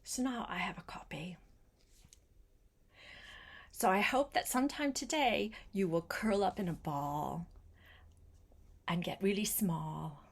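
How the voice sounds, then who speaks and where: An older woman reading a picture book aloud to a small child, gentle and coaxing, with chatty asides spoken straight to the child. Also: medium pitch, husky. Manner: gentle, unhurried, coaxing, addresses the child directly.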